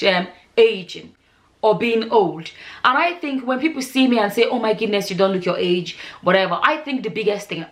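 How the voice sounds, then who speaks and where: A woman's voice: a little high, a bit bright. A woman, talking straight to camera in a casual vlog, earnest and repeating herself for emphasis. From Nigeria. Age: 40s. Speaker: vlogger.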